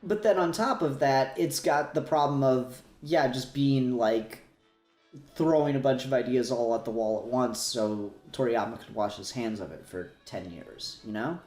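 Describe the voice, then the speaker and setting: A man's voice: mid-range voice, moderate pace, a little mellow, soft background music. A man co-hosting a podcast, talking casually and at rambling length about fan topics, with some swearing. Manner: rambling, profane. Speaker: podcast co-host. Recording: roomy, echoey voice recording.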